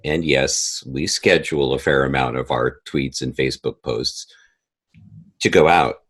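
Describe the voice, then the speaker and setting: Very deep-voiced man explaining his work. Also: steady tone, a little slow, fairly bright tone, breathy edge. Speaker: guest, audio drama creator and composer. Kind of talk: conversational interview answers.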